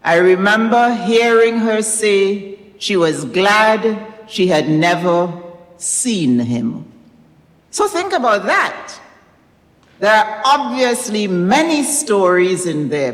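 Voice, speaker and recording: A woman giving a prepared literary talk, speaking in measured, deliberate phrases with pauses. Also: mid-range voice, expressive. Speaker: author presenting own novel. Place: recital hall, indoors. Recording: echoey recital hall with long reverb.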